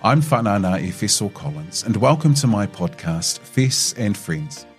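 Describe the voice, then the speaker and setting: A man's voice: on the low side, expressive, moderate pace, faint music behind. A man hosting a casual podcast interview, teasing his guest in a friendly way and often restarting his questions. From New Zealand. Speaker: podcast host.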